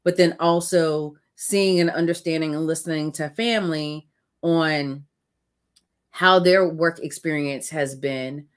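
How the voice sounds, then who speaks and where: A woman's voice: sits low, steady tone, unhurried. An American woman hosting an interview podcast, building her questions thoughtfully with many fillers. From United States. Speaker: podcast host. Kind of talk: casual interview conversation.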